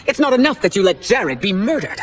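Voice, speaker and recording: A woman's voice: medium pitch, very animated, a little fast, a little hiss or hum, close to an everyday voice. An actress playing a proud, grieving queen who speaks in a commanding, bitter way. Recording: loud orchestral game score behind.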